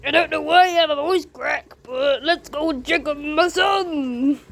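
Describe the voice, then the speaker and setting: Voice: high voice, unhurried, creaky, a bit bright, full character voice. A woman voicing a worried parent character in amateur improvised toy play-acting, plaintive, with a cracking voice.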